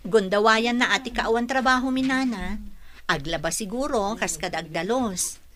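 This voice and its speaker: A woman's voice: on the high side, moderate pace, fairly bright tone, close to an everyday voice. A woman playing a blunt, outspoken younger woman in an audio drama.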